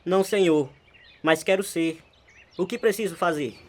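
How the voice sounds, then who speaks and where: A man's voice: high voice, moderate pace, a little hiss or hum, natural voice. A man acting as a humble, troubled hitchhiker in a radio drama, polite and earnest. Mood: polite, curious. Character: humble, troubled male hitchhiker seeking salvation.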